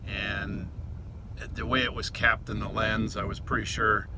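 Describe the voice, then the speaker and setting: A man's voice: on the low side, little pitch movement, measured pace, background noise. A man with an American Midwestern accent, chatting casually and offhandedly as a vlogger, mumbling a little as he rambles. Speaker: vlogger, reseller. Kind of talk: casual rambling vlog talk.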